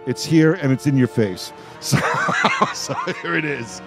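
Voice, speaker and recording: Male talk host, mid-pitched, casual and emphatic, circles back over his words. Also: a little slow, creaky, faint music behind. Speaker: radio host moderating a roundtable. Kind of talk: casual roundtable chat.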